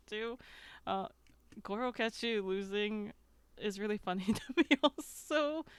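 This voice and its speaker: A woman's voice: mid-range voice, fairly bright tone, smooth, dry recording. A woman co-hosting a casual podcast, explaining things in an earnest, amused, fannish way. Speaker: podcast host. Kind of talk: casual group chat.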